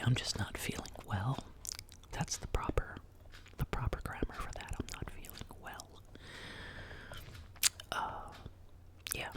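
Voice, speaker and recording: A woman hosting a soft-spoken ASMR chat, sick and sounding lower than usual, drifting between quiet speech and whispers in her home studio. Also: vocal fry, breathy edge. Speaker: ASMR channel host. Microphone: binaural desk microphone.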